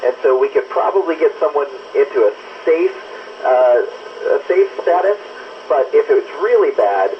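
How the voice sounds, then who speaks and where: A man's voice comes through a dull amateur radio link with faint noise behind it. His pitch sits a little high. He explains things to students as an astronaut, in plain and enthusiastic language. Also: expressive, moderate pace. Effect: amateur radio transmission. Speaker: astronaut answering students' questions. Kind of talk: educational Q&A over amateur radio. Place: aboard a space station. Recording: muffled, faintly noisy ham radio link.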